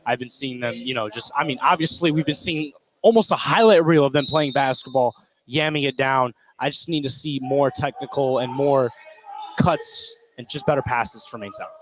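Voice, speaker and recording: Mid-pitched man giving casual game analysis. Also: normal speed, slight background noise, poor audio. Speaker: colour commentator. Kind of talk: casual live sports commentary. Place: indoor basketball gym.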